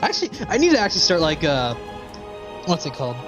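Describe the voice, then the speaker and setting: A man's voice: a little high, wildly swooping pitch, fast. A man livestreaming with casual, enthusiastic and sweary commentary over video game cutscenes. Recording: game music playing loudly behind. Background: video game cutscene music and dialogue.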